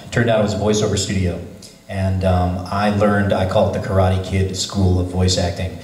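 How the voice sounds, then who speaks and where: Deep-voiced man in an echoey convention hall. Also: normal speed, far from the mic. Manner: chatty, animated storytelling. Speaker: convention panelist. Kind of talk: casual panel talk.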